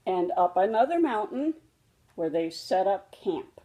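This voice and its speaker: A woman reading a children's storybook aloud, expressively and deliberately for young listeners. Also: mid-range voice, big pitch swings, dark tone. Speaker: storybook reader. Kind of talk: children's read-aloud narration.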